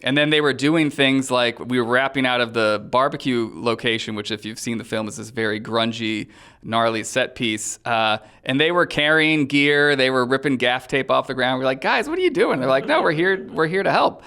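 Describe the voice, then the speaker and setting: A man's voice: mid-range voice, moderate pace, pushed and bright, smooth. A man speaking as an interviewed filmmaker, chatting casually and telling stories with bits of acted-out dialogue. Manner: chatty, acts out quoted dialogue. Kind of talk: casual animated interview storytelling.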